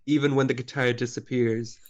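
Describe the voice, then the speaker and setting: A man co-hosting a casual podcast chat, relaxed and self-mocking as he reads out his notes. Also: medium pitch, brisk, highs cut off. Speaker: podcast co-host.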